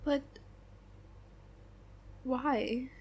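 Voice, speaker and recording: A young woman, weary and hurt, pours out her heart to her closest friend, as a woman acts her out. Her voice sits a little high, and her talk is slow and halting, broken by long pauses. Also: fairly even intonation, darkish tone, a little hiss or hum. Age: young adult. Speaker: best friend venting. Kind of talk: audio roleplay acting.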